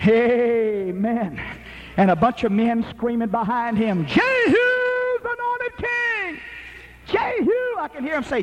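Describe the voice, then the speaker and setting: A man preaching a sermon in an emphatic, rhythmic style that rises to shouted peaks. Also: sits high, muffled recording, a little hiss or hum.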